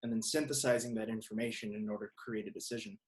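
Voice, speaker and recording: A man hosting a podcast, talking casually and reflectively in thoughtful, halting phrases. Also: fairly low pitch, steady tone. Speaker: podcast host. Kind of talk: casual reflective interview talk.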